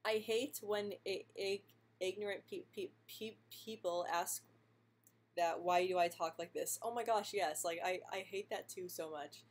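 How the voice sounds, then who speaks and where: A young woman who stutters, answering viewer questions in a casual live stream, speaking softly with frequent repeated sounds and light laughs. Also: mid-range voice, unhurried. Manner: soft-spoken, laughs lightly between answers.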